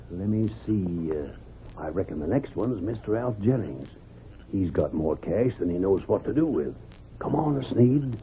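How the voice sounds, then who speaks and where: A man's voice: lowish voice, lightly acted. Rustic gloating outlaw played by a male actor, swinging intonation, tinny radio western. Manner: rough rustic speech. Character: pair of sly, chuckling frontier crooks. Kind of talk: old-time radio western acting. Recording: tinny, noisy old radio recording.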